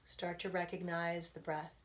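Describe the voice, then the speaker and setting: A woman leading a guided relaxation as a meditation instructor, speaking gently and soothingly in unhurried phrases with pauses. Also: lowish voice, level tone.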